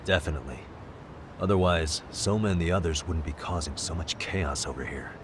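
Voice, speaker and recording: An actor playing a cool, hard-nosed private detective in a video game dub, measured and matter-of-fact. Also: male voice, deep voice, background noise, natural voice. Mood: cool, analytical.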